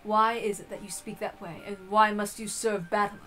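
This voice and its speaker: A woman's voice: medium pitch, fairly even intonation, normal speed, sharp tone, soft background music, voice pushed down, lightly acted. Woman voicing a haughty, imperious golden witch. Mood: formal, self-assured. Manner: arrogant, formal, imperious. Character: haughty, self-proclaimed elder-sister golden witch, female. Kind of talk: dramatic visual novel reading.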